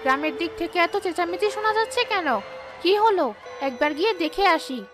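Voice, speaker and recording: A woman's voice: very high-pitched, expressive, music in the background. A woman voicing a sly, sulky, greedy female ghost wife in a cartoon, whining and scheming. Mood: gleeful scheming. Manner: whining, scheming, quick to complain. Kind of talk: cartoon ghost-story dialogue.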